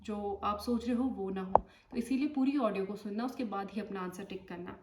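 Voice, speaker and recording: A woman's voice: medium pitch, fairly quick, recorded from a distance. Woman nurse explaining in an echoey room. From India. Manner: explanatory, checks in often with "okay". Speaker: nurse and exam tutor. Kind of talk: casual spoken tutorial. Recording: echoey room, voice off-mic, faint noise.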